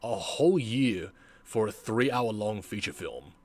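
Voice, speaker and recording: A man with a fairly low voice tells his story as a reflective vlogger. His pitch swings widely, while his pace is unhurried, with dramatic pauses and emphatic repeats. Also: expressive, no echo. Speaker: video creator, former amateur voice actor. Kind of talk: scripted reflective vlog narration.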